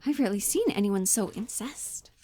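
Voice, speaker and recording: A woman's voice: medium pitch, lively intonation, moderate pace, warm tone, slightly rough. A woman playing an earnest, mildly condescending male office worker, hesitant and placating, in a cold-read stage play. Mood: placating, indignant.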